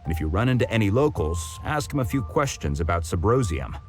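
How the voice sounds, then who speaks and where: A man's voice: deep voice, big pitch swings, brisk, music in the background. An actor playing a helpful, easygoing man in a video game, thinking aloud in a casual, hesitant way. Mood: helpful, musing. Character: helpful, easygoing man giving local advice.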